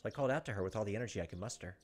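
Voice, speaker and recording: A man's voice: on the low side, very fast. A man, reading visual novel narration aloud with asides into a close microphone. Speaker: let's play host. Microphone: close desk microphone. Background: game music.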